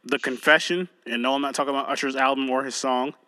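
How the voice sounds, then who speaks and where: A man's voice: mid-range voice, fairly even intonation, quick pace, bright, forceful tone, no room sound. A man co-hosting a podcast, chatting loosely with a friend, joking and swearing as he goes. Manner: loose, joking, profane banter. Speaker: podcast co-host. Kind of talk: casual chat.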